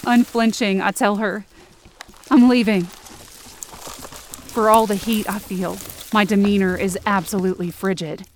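A woman's voice: mid-range voice, steady tone, measured pace, pauses often, a little hiss or hum, close to an everyday voice. A woman playing a wounded, angry, defiant young woman, narrating in the first person with intense feeling in an audio drama. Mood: hurt, resolute. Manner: intense, emotive.

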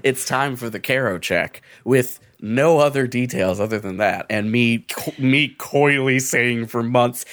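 A man's voice: mid-range voice, a little slow, hardly any pauses, a bit bright. A man co-hosting a podcast with wry, jokey and sometimes profane casual commentary. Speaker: podcast co-host.

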